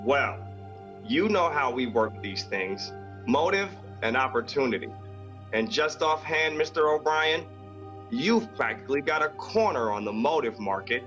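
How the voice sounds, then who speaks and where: A man's voice: mid-range voice, expressive, a little slow, dull audio, music in the background, close to an everyday voice. A man playing a blunt, suspicious police lieutenant in a 1940s radio drama, with a dry, folksy manner. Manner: folksy, hard-boiled, dry. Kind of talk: 1940s radio detective acting.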